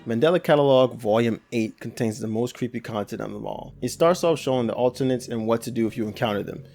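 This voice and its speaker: A young man with a gravelly, creaky voice talks through a horror series in casual, rambling commentary. He often restarts phrases and drops slang. His pitch sits in an ordinary mid range. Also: fast talker, mellow, a little hiss or hum. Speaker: YouTube commentator.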